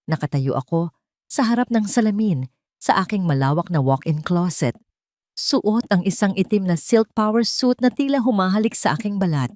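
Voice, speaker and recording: A woman reading scripted first-person narration of a dramatic story in a measured, solemn way. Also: mid-range voice, animated, darkish tone, dry recording, studio quality.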